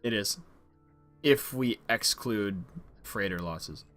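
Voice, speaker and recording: A man's voice: fairly low pitch, slow, fairly bright tone, dry recording, soft background music. A man hosting a casual group chat where gamers share stories. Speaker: host leading a gaming story session.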